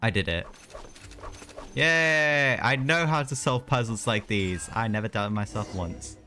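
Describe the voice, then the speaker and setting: A man's voice: mid-range voice, a little slow, bright, forceful tone, slight background noise. A young man doing casual live gameplay commentary, chatty and slangy with mild exclamations. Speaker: game streamer. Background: game music.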